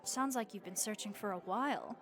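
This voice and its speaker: A woman's voice: medium pitch, a little fast, over music, close to an everyday voice. A woman playing a friendly, worldly vampire innkeeper in a comforting audio roleplay, chatty and encouraging with a wry, folksy way of talking. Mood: helpful, easygoing.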